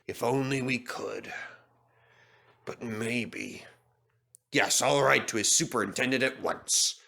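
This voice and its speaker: A man playing a kindly, authoritative gentleman who runs a railway, reassuring in manner, in a children's story. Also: medium pitch, takes it slowly, vocal fry, full character voice. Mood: kindly, thoughtful.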